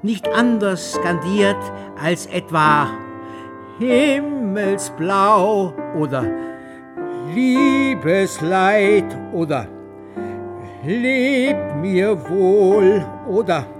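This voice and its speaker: A man's voice: high-pitched, animated, drawn-out words, exaggerated voice. An actor playing a fervent male music lecturer in a radio play, declaiming in an ecstatic manner. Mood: explanatory, savoring. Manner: declamatory, ecstatic, breaking into singing and shouting. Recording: piano music loud under the voice. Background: piano playing.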